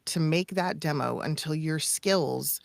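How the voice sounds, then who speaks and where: A woman coaching in casual talk, emphatic and expressive, acting out imagined quotes. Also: low voice, measured pace, gravelly, no room sound, clean studio sound. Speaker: voice-over coach and podcast co-host.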